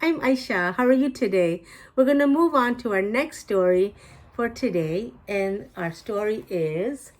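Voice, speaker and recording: Slow, high-pitched, lively woman storyteller. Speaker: storytime host reading picture books aloud. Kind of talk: expressive read-aloud for young children.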